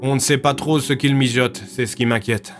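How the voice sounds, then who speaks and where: A man's voice: medium pitch, fairly even intonation, a little fast, soft background music, lightly acted. A man playing an earnest, weary male police inspector in an audio drama. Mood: serious, concerned.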